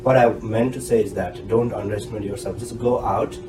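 A man's voice: on the low side, fairly even intonation, a little fast. A man in his thirties with an Indian accent, a guest giving frank, emphatic advice on a podcast interview. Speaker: guest, doctoral graduate. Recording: echoey room with loud music bed.

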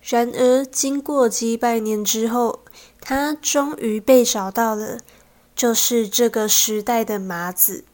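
A woman's voice: a little high, steady tone, slow pace, darkish tone. A young woman reading scripted narration for a student radio drama. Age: young adult.

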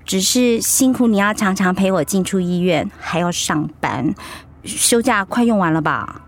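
An actress with a Taiwanese accent playing an upbeat, teasing wife in recovery from illness in a radio drama, playful and reassuring. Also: female voice, medium pitch, lively intonation, moderate pace. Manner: playful, reassuring, chiding her husband. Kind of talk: Mandarin radio drama acting.

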